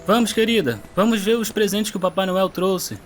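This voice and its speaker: A man's voice: high-pitched, normal speed, music in the background, lightly acted. A man playing an affectionate father coaxing his young daughter in an audio drama.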